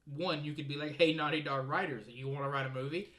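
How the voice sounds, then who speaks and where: A man's voice: on the high side, steady tone, fast talker, fairly bright tone. A man co-hosting a gaming podcast, chatting in an informal, jokey way with the others. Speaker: podcast co-host. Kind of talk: casual round-table gaming chat.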